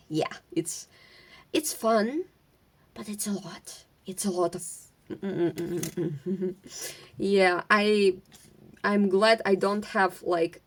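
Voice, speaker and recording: Brief: young Ukrainian-accented woman, unhurried rambling chat, slightly low voice, faint hiss behind. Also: creaky. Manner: rambling, halting, many fillers and restarts; stutters at times. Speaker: vlogger. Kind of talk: casual chat while sorting craft supplies. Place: at home among craft supplies.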